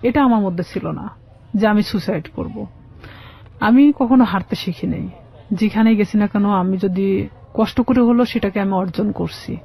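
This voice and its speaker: A Bangladeshi woman giving personal testimony as an interview guest, in a halting, plain-spoken way. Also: mid-range voice, creaky, warm tone, slightly rough. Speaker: guest telling her life story. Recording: dull, muffled lo-fi sound.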